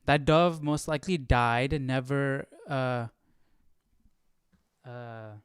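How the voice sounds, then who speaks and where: A man gives casual commentary as host of a Bible study stream. His voice is clear and mid-pitched, with a dry, close sound. He thinks aloud in an informal, halting way. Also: no room sound.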